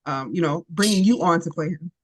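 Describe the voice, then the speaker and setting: Interviewer, enthusiastic comic fan vibe, chatty, mid pitch, laughs a lot. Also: fairly quick, breathy edge, rough recording. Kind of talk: casual interview.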